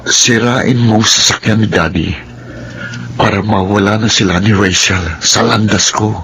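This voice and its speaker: An actor playing a scheming, hot-tempered rich son in a melodrama, domineering and threatening in manner. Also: male voice, lowish voice, fairly even intonation, normal speed, creaky, pushed and bright, a little hiss or hum, low-quality recording. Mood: cold, bitter. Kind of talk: Filipino radio-style melodrama acting.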